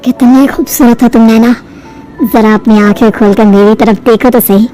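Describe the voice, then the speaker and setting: A woman's voice: a little high, fairly even intonation, normal speed, dark tone, voice pushed down, lightly acted, rough recording. A woman voicing a petulant, easily aggrieved young bridegroom in a storybook tale. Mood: coaxing. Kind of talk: animated storybook dialogue. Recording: music under the dialogue.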